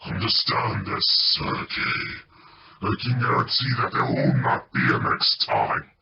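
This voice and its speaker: A menacing cult master, acted by a man, speaks with slow, formal deliberation in a low register. He is a cold radio-play villain who commands with every phrase. The recording is echoey, with some background noise. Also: very animated, vocal fry, bright, forceful tone, full character voice. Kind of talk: amateur radio play acting. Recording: echoey, muffled, noisy home recording.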